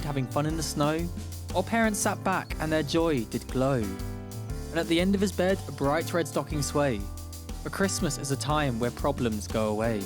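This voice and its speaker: A man narrating a rhyming radio story in a theatrical, measured verse delivery. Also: a little high.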